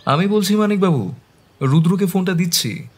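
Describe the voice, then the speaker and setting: A man's voice: high-pitched, lively intonation, normal speed. A man narrating a dramatised detective audio story as the detective's attentive companion. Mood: inquisitive. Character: attentive male companion of a detective, telling the case.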